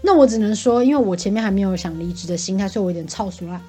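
A woman's voice: medium pitch, rapid-fire, warm tone, soft background music. A woman with a Taiwanese Mandarin accent telling office anecdotes in casual, chatty, exasperated conversation. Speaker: podcast co-host venting about office life.

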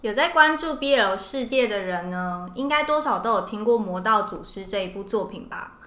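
A woman's voice: mid-range voice, animated, normal speed, fairly bright tone. A woman with a Taiwanese Mandarin accent hosting a podcast, chatting casually as she explains things to listeners. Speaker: podcast host. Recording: roomy indoor echo.

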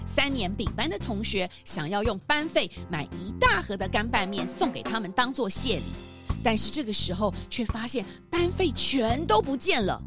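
A woman playing a lively narrator, reading a scripted detective story for children. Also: on the high side.

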